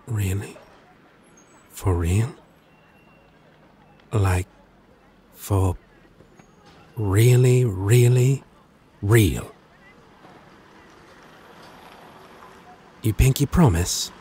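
Slow, low-voiced flirty demon played by a man. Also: warm tone, a little hiss or hum. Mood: flustered, incredulous. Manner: teasing, halting, dramatic delivery. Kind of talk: ASMR romance roleplay acting.

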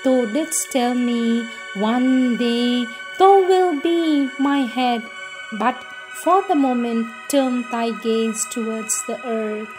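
A woman reading devotional prayers and meditations in a solemn, measured, reverent manner. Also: on the high side, low-quality recording. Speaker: reader. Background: music.

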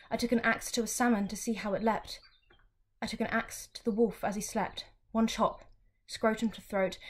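A young woman giving a dramatic poetry reading, with deliberate, measured phrasing. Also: medium pitch. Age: young adult. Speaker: student reciting poetry. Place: small furnished room at home, indoors.

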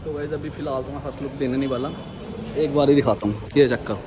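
A young man vlogging casually and excitedly to his viewers outdoors, filming himself on an action camera held at arm's length. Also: medium pitch, fast talker, a crowd in the background. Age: young adult. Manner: excited, addresses viewers as guys and brother. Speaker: motovlogger. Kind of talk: casual vlog chat. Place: outdoors by a roadside and a showroom lot. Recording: action camera with loud street noise.

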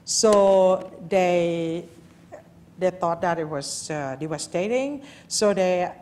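A woman's voice: lowish voice, slow pace, a little mellow, slight background noise. A woman from Thailand, giving a live architecture lecture at a lectern, speaking informally with many fillers and restarts.

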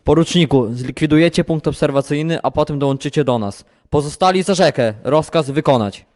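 A man's voice: fairly high pitch, brisk, a little mellow, lightly acted. A young man playing an alert army captain who gives terse orders in an amateur war audio drama. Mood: commanding. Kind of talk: scripted war radio drama dialogue.

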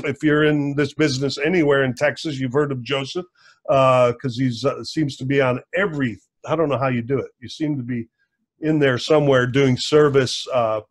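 Halting older male podcast host. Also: mid-range voice. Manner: halting, many fillers and restarts. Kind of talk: casual interview introduction. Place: home room. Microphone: video call.

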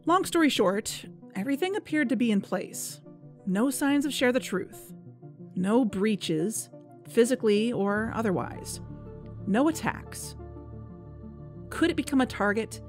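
A woman's voice: on the high side, animated, a little slow, faint music behind. A woman playing an anxious, driven female podcast investigator in an audio drama, earnest and urgent. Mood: serious, measured.

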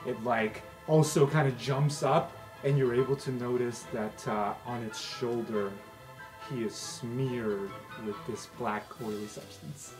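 A man runs a tabletop fantasy game as game master, describing the action casually and vividly with some swearing. His pitch is middling, and music plays clearly behind him. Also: steady tone, measured pace. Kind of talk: improvised tabletop game narration. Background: background music.

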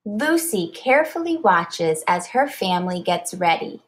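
A woman reading a children's storybook aloud like a teacher, expressive and deliberate. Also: fairly low pitch.

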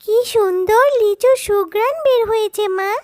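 A woman's voice: extremely high pitch, moderate pace, dark tone, no room sound, clean studio sound. A woman voicing a sweet, eager little fox girl who coaxes and begs in a childlike way in a children's cartoon. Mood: eager, begging. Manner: childlike, begging and coaxing. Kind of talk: Bengali children's cartoon dubbing.

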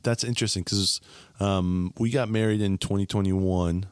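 A chatty, upbeat man in his thirties hosts a relaxed podcast conversation, his voice sitting fairly low. He is close on a studio boom mic, and the sound is dry and tight, with little room behind it. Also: normal speed, clean tone, no room sound. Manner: chatty, upbeat, frequent laughs and "bro". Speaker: podcast host. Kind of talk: casual conversational interview. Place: small home podcast studio. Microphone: studio mic on boom arm, headphones.

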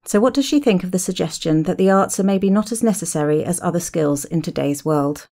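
A woman's voice: on the low side, animated, fairly quick, dark tone. A woman presenting a podcast, with scripted narration and interview questions.

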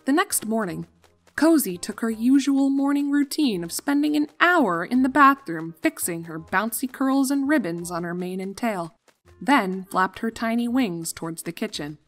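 A woman's voice: on the high side, expressive, normal speed, faint music behind. A young adult woman reading scripted story narration as the narrator of a fan fiction.

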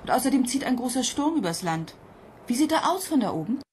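An actress playing a calm, attentive female psychologist at ground control in a radio play, talking conversationally. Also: on the high side, fairly quick, a little hiss or hum. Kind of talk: German radio play acting.

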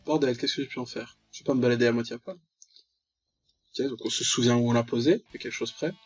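A man's voice: medium pitch, fairly even intonation, brisk. A man hosting a let's play, commenting ironically and reading game text aloud with lots of interjections. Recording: dull lo-fi mic with music behind. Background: game music.

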